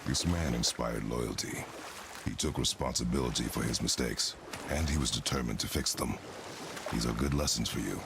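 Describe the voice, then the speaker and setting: An actor playing a stern, weary warrior father in a video game, terse and commanding, with formal wording and a gravelly voice. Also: rumbling low, normal speed, background noise. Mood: measured, solemn. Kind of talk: video game cinematic acting.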